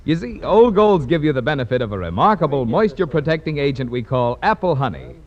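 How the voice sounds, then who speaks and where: An American man announcing a 1940s radio commercial with a polished sales pitch and emphatic phrasing. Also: big pitch swings, fast. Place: radio studio hall during a rehearsal. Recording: muffled 1940s radio recording, echoey hall.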